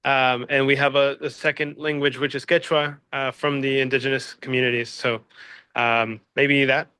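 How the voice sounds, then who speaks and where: A man's voice: mid-range voice, flat delivery, normal speed, bright, forceful tone, clean tone, dry recording. A man from Peru answering interview questions in a casual, hesitant way, with many fillers and restarts. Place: Seoul, South Korea.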